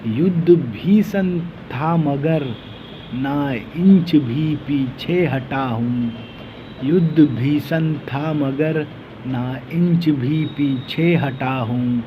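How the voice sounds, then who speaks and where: A man giving a patriotic speech with recited verse in a declamatory, rhetorical manner, using dramatic pauses and repeated lines. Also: on the high side, highs cut off, noisy background. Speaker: orator reciting patriotic poetry.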